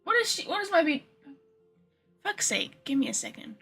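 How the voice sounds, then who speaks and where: A woman's voice: high-pitched, big pitch swings, moderate pace, vocal fry, sharp tone, faint music behind. A woman livestreaming a video game, chatting casually to viewers in a rambling, informal, sweary way.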